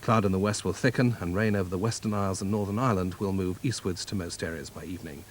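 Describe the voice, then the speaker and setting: A man's voice: low voice, fast. A man with a British accent making scripted television continuity announcements. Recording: faint tape hiss.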